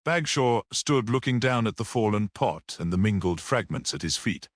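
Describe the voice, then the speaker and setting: A man's voice: on the low side, expressive, normal speed, no room sound, clean studio sound. A man reading a mystery story aloud as an audiobook narrator.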